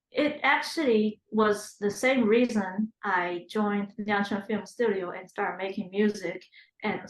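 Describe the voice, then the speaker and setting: A woman's voice: mid-range voice, roomy sound, rough recording. A woman in her thirties from China, whose first language is Chinese, thinking aloud in an oral history interview with fillers and restarts. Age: 30s.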